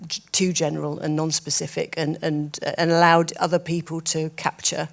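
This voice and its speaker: A woman's voice: deep voice, little pitch movement, moderate pace, vocal fry. A woman chairing a symposium, polite but firm as she steers the discussion. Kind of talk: moderating a discussion.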